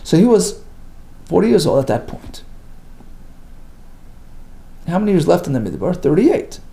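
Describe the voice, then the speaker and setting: Lively, gravelly man teaching Talmud. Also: on the high side, moderate pace. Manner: reads Hebrew and Aramaic phrases then translates, lively and emphatic. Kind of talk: daily page lesson, reading and explaining.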